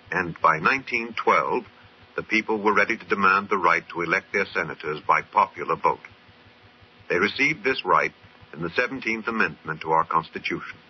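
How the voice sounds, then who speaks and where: An American man reading scripted patriotic radio narration in a formal, solemn manner. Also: fairly low pitch. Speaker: announcer. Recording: noisy, dull old radio recording.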